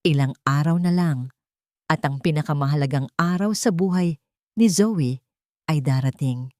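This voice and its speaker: Woman, low pitch, dry sound, scripted romance drama narrator. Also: normal speed, a little mellow, no room sound. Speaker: story narrator.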